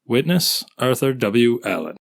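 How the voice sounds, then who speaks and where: A formal 1890s prosecutor acted by a man, with a medium-pitched voice. He reads documents aloud in a deliberate, orderly courtroom manner for a dramatized trial. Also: very animated, vocal fry, heavily acted. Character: male prosecuting attorney reading a letter, formal. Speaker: actor reading a lawyer's part.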